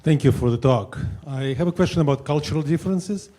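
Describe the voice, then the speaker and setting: Male audience member, Israeli accent, warm and earnest. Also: fairly high pitch, a little fast.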